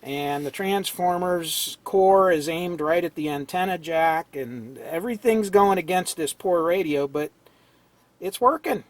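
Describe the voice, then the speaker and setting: A man with a hoarse, fading voice, casually explaining an old radio repair at an electronics workbench, clearing his throat now and then. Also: fairly high pitch, unhurried. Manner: relaxed, talks while tinkering, frequent throat clearing. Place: cluttered electronics workbench indoors.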